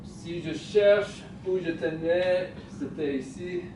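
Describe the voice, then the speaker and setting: Slow-spoken Iranian-accented man, echoey distant recording. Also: sits high. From Iran. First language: Persian. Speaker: speaker giving a talk. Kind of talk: personal storytelling talk to an audience. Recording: echoey room, distant mic, clearly noisy.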